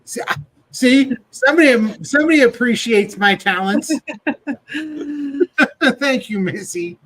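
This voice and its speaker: Lively, jokey man hosting a livestream. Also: extremely high pitch, a little slow, no echo, low-quality recording. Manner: jokey, laughs often, chatty. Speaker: livestream host. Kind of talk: casual livestream chat.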